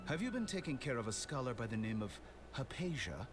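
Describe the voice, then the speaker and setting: A man's voice: medium pitch, sing-song, fairly quick, natural voice. An actor playing an arrogant, smug male scholar villain in a video game, with a silky, condescending manner. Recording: game audio with loud music behind.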